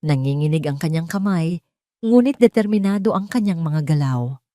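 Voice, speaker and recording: Low-voiced Filipino woman narrating a story. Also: expressive, normal speed, dark tone, dry recording, clean studio sound. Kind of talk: scripted dramatic story narration.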